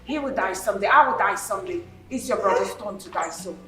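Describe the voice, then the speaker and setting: A woman's voice: extremely high pitch, animated, moderate pace, pushed and bright, exaggerated voice. An actress with a Nigerian accent playing a defiant, cold-hearted, middle-aged widowed mother who challenges everyone in a family drama. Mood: cold defiance. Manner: confrontational, repeats challenging questions. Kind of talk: Nollywood-style family drama acting. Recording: roomy, distant mic, faint music.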